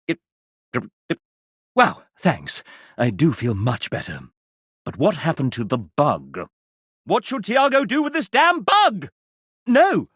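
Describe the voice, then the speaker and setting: An actor voicing a sassy, flamboyant video game host, speaking in a grandiloquent, arch and melodramatic way. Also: male voice, high voice, a bit bright, slightly rough, no echo, clean studio sound, exaggerated voice. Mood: fussy, dramatic. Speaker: voice actor playing a game show host character. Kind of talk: theatrical video game character acting as a game show host.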